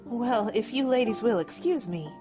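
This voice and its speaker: Radio play: actress as feisty village wife, higher-pitched, animated intonation. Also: female voice, normal speed, over music, natural voice. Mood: brisk. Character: feisty village wife standing in for her husband. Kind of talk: radio drama acting.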